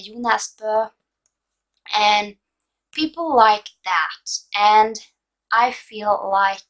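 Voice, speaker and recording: A young Norwegian woman in her twenties talks slowly and loosely, full of hesitations and fillers. Her voice is bright and clear, with a Norwegian accent. English is not her first language. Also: medium pitch, rough recording. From Norway. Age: 20s. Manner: relaxed, hesitant, many fillers. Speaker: book vlogger. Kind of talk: casual advice vlog.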